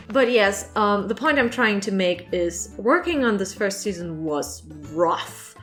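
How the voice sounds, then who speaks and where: A woman's voice: mid-range voice, fairly bright tone. A woman talking casually and informally to her viewers as a vlog host, rambling and a little self-deprecating. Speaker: online creator and channel host. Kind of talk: casual chatty Q&A vlog to camera.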